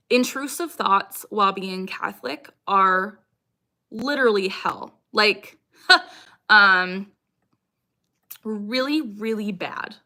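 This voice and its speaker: Young female streamer, bright crisp-edged voice at middle pitch, informal and frank Q&A chat punctuated by vocal tics. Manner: sudden repeated vocal tics interjected into talk. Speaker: livestream host, content creator. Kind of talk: casual livestream Q&A chat, answering viewer comments.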